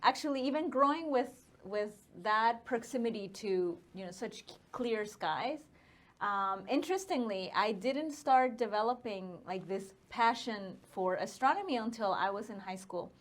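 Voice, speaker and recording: Higher-voiced Mexican woman telling her story. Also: expressive, normal speed. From northern Mexico. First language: Spanish. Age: 30s. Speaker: interview guest, astronomer. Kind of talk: casual conversational storytelling.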